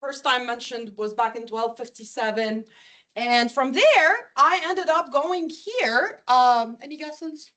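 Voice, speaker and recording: Female guest academic, high voice, foreign accent from a Ukrainian and Lebanese upbringing, native Ukrainian and Russian; chatty presenter who involves the room with questions. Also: takes it slowly, a bit bright. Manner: chatty, engages audience with questions. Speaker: visiting academic, guest speaker. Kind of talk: informal academic presentation to a live audience.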